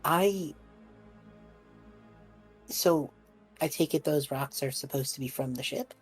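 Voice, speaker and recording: A woman's voice: low-pitched, slow, soft background music, poor audio. A woman playing an awkward, stammering young male magic student in an improvised tabletop game. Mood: awkward, hesitant. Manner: hesitant, stammering.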